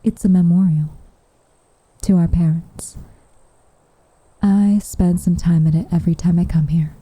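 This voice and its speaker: A woman acts out a gentle witch with a wistful, guarded tenderness for an ASMR roleplay. Her voice is lowish, very mellow and breathy, often slipping into a whisper. It is recorded close and dry, with insects chirping behind her. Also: steady tone, dry recording, rough recording. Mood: wistful, tender. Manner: soft, breathy, tender, measured delivery. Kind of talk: soft roleplay ASMR, intimate and half-whispered. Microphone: close microphone.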